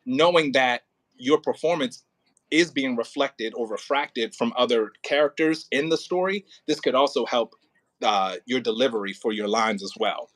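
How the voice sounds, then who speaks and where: A man coaching an actor casually as an audio play director, thinking aloud in halting, repetitive phrases and sounding encouraging. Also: mid-range voice, a bit bright, no echo.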